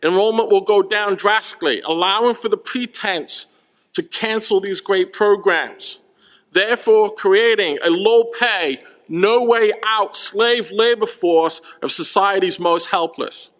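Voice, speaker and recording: A man's voice: sits high, a little slow, fairly bright tone. A man speaking as a student at a rally, earnestly reading out a prepared speech. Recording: hall with long reverb.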